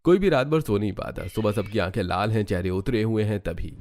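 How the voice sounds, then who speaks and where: Low-voiced male storyteller, noisy recording. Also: fairly quick, a little mellow. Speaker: narrator. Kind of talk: scripted story narration for cartoon.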